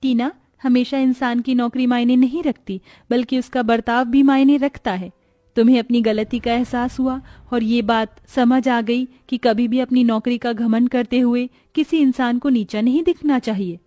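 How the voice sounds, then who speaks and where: A woman's voice: a little high, steady tone, normal speed, dark tone, no room sound, clean studio sound, close to an everyday voice. A woman voicing a humble, educated young woman from a poor family in a cartoon moral story, earnest and pleading. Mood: gentle, forgiving.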